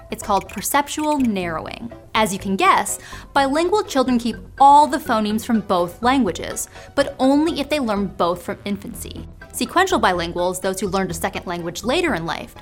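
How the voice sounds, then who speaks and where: A woman hosting a science show, narrating in a scripted, explanatory way. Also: on the high side. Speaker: host.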